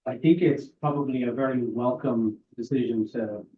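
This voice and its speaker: A man in his forties with an Irish accent, answering interview questions at a council meeting in a measured, courteous way. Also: medium pitch, fairly even intonation, a little hiss or hum. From Ireland. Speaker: board applicant. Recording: tinny, distant council-room audio.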